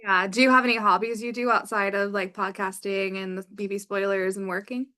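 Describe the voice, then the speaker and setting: A woman hosting a podcast, chatty and asking quick, friendly questions. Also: mid-range voice, level tone, sharp tone. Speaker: podcast host. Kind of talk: casual interview chat.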